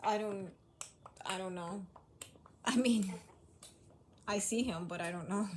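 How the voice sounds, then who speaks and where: Woman, mid pitch, hesitant and unsure, faint background noise. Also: takes it slowly. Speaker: mother. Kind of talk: casual conversation.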